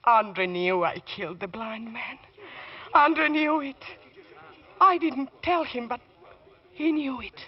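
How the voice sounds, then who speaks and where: An actress playing a grieving, embittered accused murderess in an old radio drama, full of contempt. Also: female voice, fairly high pitch, takes it slowly, pauses often, breathy edge. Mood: insistent. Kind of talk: 1940s radio drama acting. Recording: dull lo-fi old radio recording.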